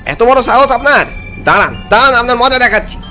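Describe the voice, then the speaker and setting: A man playing a brusque, argumentative ferry-side local in an animated story, with a blustering manner. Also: very high-pitched, fast, soft background music, heavily acted. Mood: outraged.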